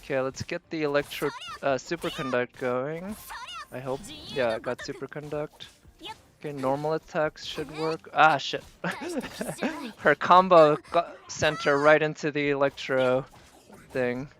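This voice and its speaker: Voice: mid-range voice, animated, a little slow. A game livestreamer giving casual, off-the-cuff commentary while playing, talking to themself and swearing mildly. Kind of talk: casual live gameplay commentary.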